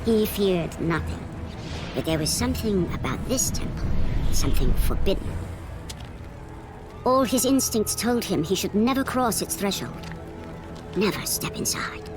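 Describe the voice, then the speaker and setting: An actress playing a solemn, portentous narrator of a Greek epic in a video game, with deliberate dramatic emphasis. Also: female voice, mid-range voice, animated, natural voice. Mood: ominous. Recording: loud dramatic music underneath.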